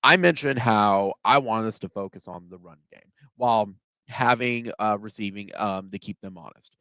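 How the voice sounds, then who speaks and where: A man with a low voice and a stuffy, congested sound from a head cold talks through a football game recap in a relaxed, rambling way, full of ums and false starts. The recording is dull and dry, with little high end and no room sound. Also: normal speed, no echo. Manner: conversational, many ums and restarts. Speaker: sports podcast host. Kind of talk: casual solo game recap.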